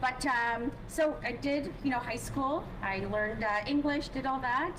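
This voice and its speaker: A woman's voice: high voice, measured pace, background noise. A woman from Poland, a native Polish speaker, chatting as a guest on an interview show and often adding "right?" and "you know". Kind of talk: casual interview chat.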